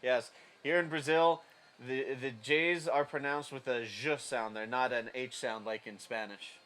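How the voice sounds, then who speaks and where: A man with a booming voice giving joking live play-by-play commentary. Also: fairly even intonation, unhurried, fairly bright tone, clear, resonant tone. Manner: booming voice, joking, explains details.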